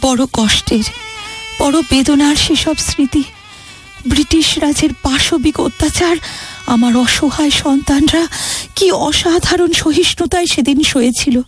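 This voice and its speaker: A woman plays a sorrowful motherly spirit of a nation in a poetic radio play. Her voice is high and unhurried, drawn out and emotive, over faint music. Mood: pained remembrance. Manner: emotive, drawn-out, declamatory delivery. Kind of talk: theatrical, poetic audio drama.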